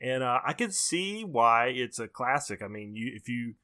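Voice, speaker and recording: A man's voice: mid-range voice, very animated, normal speed, pushed and bright. An American man co-hosting a podcast, reviewing movies in casual conversation. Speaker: podcast co-host.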